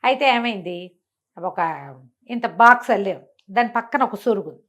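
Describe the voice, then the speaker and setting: A woman's voice: on the high side, moderate pace, fairly bright tone, highs cut off. A woman telling a bedtime story informally and conversationally, with explanatory asides and rhetorical questions.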